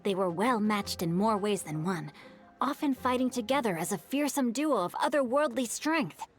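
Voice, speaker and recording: A woman's voice: fairly high pitch, lively intonation, moderate pace, close to an everyday voice. A woman voicing a polite, bookish young man in a video game, speaking in formal, thoughtful, storytelling phrases. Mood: thoughtful, narrating. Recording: game dialogue over background music. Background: game music.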